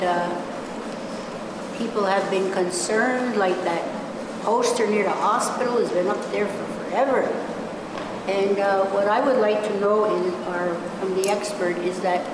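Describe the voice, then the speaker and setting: A woman's voice: medium pitch, natural voice. A woman playing an inquiring community member, hesitant and thinking aloud as she asks questions at a meeting. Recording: echoey hall, distant mic, loud noise.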